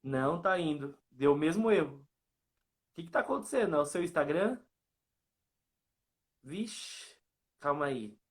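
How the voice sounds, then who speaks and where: A man's voice: a little high, animated, unhurried, long pauses. A man with a Brazilian accent chatting informally as a guest on an online live stream about his work. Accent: Brazilian Portuguese. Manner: informal, colloquial. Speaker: guest, comics reviewer and page creator. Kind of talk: casual live chat. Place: online video live, remote call.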